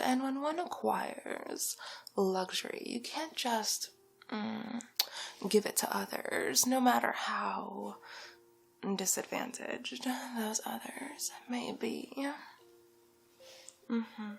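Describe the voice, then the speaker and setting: A woman playing a haughty, condescending, luxury-obsessed villainess in an ASMR roleplay, with a drawling, theatrical delivery that shifts between breathy whisper and soft creaky speech. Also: a little high, full character voice. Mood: smug, luxuriating. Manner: drawling, theatrical, condescending, calls listener darling.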